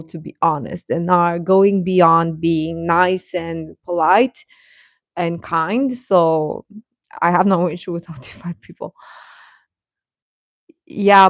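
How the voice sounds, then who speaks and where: Low-voiced woman chatting on a livestream. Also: no room sound, clean studio sound. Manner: rambling, halting, sarcastic. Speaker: live streamer and reaction channel host. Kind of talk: casual live-stream chat about pop fandom.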